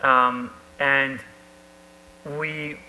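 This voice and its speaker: A man talks as an author at a live book event, in a halting way with many repeated words. His voice is very bright and forceful, at a middling pitch. It rings in a reverberant hall with a faint hum behind it. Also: little pitch movement. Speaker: author on a discussion panel. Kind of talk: live on-stage conversation about a book.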